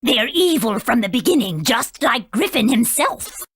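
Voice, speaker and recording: An actress playing a proud, aged female sage in a video game, husky, formal and haughty, stern yet kindly. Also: fairly high pitch, big pitch swings, moderate pace, a bit bright, no room sound, heavily acted. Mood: grave, explaining.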